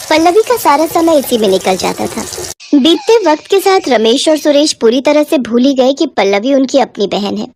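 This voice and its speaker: A woman's voice: high-pitched, expressive, moderate pace, darkish tone. A woman reading a scripted moral story as its narrator.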